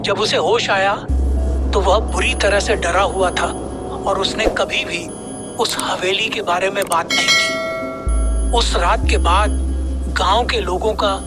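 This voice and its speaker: A man narrating a scripted horror tale in a slow, measured storytelling manner. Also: high voice, fairly even intonation. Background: loud music.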